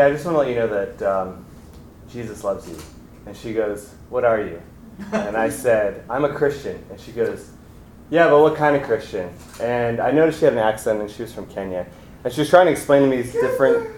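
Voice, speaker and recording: A young man, a graduate student, earnestly telling a personal faith story with some halting restarts. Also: medium pitch, slight background noise. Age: young adult. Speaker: graduate student giving testimony. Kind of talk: personal testimony. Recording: echoey room.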